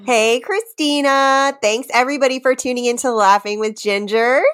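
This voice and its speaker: A woman co-hosting a comedy podcast in casual chat, playful and teasing, quick to laugh. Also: on the high side, fairly even intonation, fairly bright tone, no room sound, clean studio sound. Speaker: podcast co-host.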